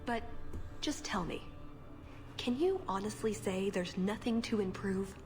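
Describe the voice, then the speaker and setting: A woman's voice: mid-range voice, expressive, unhurried, lightly acted. A woman acting in a video game as an earnest, idealistic young believer who speaks sincerely and persuasively. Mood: earnest, reflective. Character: earnest idealistic young woman, devoted member of a strict order. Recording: game audio, long reverb, music underneath. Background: music.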